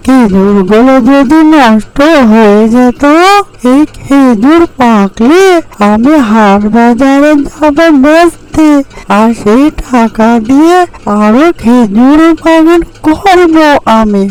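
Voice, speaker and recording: A performer voicing a small, hopeful, hardworking bird in a fairy-tale cartoon, with drawn-out storytelling delivery. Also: mid-range voice, a little mellow, clean tone, close to an everyday voice, rough recording. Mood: reproachful. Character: Tuni, small hopeful hardworking bird guarding her date palms.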